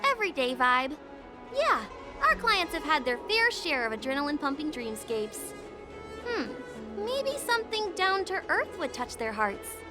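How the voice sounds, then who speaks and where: Brisk female merchant, high-pitched, expressive, matter-of-fact, game dialogue, music underneath. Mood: pondering. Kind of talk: video game character dialogue. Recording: music behind the voice.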